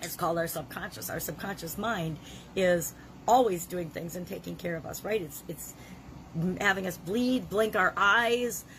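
Woman with a gravelly cold voice. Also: fairly low pitch, normal speed, a bit bright, a little hiss or hum, low-quality recording. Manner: earnest, conversational, with frequent restarts. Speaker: self-help coach leading a challenge. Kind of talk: motivational talk to camera.

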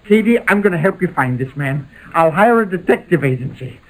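Eager gullible suitor, high tenor, animated intonation, coaxing, fussy, old-time radio sitcom. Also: male voice, fairly quick, poor audio. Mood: sympathetic. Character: eager, gullible bachelor suitor, fussily insistent and sympathetic. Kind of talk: 1940s radio comedy acting.

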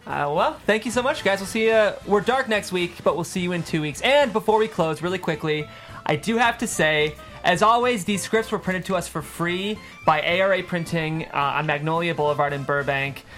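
A man's voice: high voice, moderate pace, fairly bright tone, faint music behind. A man hosting a casual panel discussion, chatty and conversational, often saying "like". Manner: chatty, frequent "like". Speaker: host and screenwriter.

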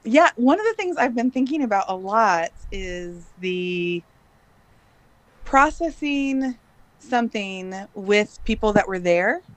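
A woman's voice: medium pitch, animated, slow, no room sound, rough recording. A woman in her late thirties who grew up in Bangladesh, speaking as a podcast guest in casual, chatty talk with frequent laughs and hesitant fillers. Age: late 30s. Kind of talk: casual interview chat.

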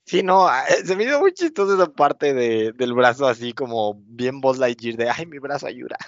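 A man's voice: medium pitch, lively intonation, moderate pace, no room sound. A man, casually recapping a TV episode as co-host, rambling with many fillers. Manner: rambling, many fillers, acts out quotes with laughs. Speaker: podcast co-host. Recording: dull lo-fi sound.

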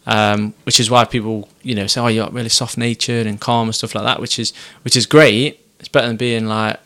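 A young man talks about himself in a soft, unhurried way. His voice sits fairly low and has a gravelly edge. He hesitates often and restarts his sentences. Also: steady tone. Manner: soft-spoken, hesitant, many ums and restarts. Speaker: business owner and podcast guest. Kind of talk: reflective casual chat.

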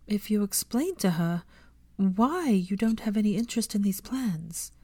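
A woman voicing an earnest, defiant young witch, caring and quick to indignation, in an audiobook-style story reading. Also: medium pitch, animated, dark tone, no room sound, clean studio sound, voice pushed up. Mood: indignant, tentative.

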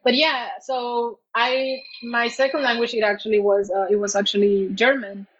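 High-voiced woman, halting. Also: flat delivery, lo-fi sound, a little hiss or hum. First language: Spanish. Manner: halting, searching for words. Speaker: interview guest. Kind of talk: casual personal storytelling.

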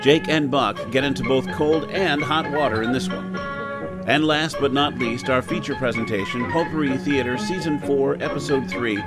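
A man's voice: medium pitch, normal speed, poor audio. A man hosting an audio show with a casual scripted introduction. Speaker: show host. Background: loud music.

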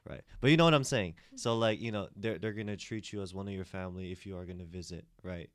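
A man with a very low voice talks through a casual group discussion, circling back with fillers and false starts. The sound is dry and close. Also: fast, no room sound. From United States. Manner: rambling with many restarts and fillers. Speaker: discussion participant.

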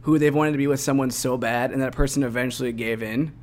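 A young man co-hosting a casual advice podcast, relaxed and talkative, peppering his talk with "like" and "dude". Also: medium pitch, fast. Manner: relaxed, uses "dude" and "like" a lot. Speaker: podcast co-host.

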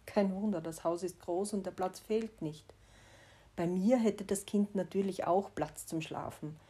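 A woman in her forties with a Styrian Austrian accent, reflecting on her life in a personal monologue. Her voice is mellow and slightly husky. She talks candidly, with a wry, gently self-mocking edge. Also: on the low side, unhurried. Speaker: vlogger.

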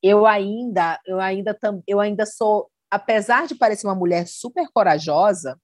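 A woman's voice: medium pitch, normal speed, no room sound. Earnest woman in dry close sound. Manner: earnest, reflective, often quotes others. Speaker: content creator. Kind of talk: casual conversation.

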